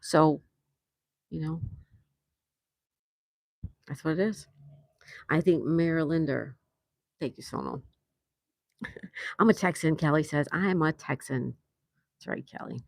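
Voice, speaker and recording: A woman's voice: low-pitched, takes it slowly, vocal fry, pauses often, no echo, clean studio sound. An older woman from New York hosting a casual livestream from an outdoor patio, chatting and reading viewers' messages aloud with frequent laughs. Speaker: livestream host. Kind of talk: casual live chat reading comments.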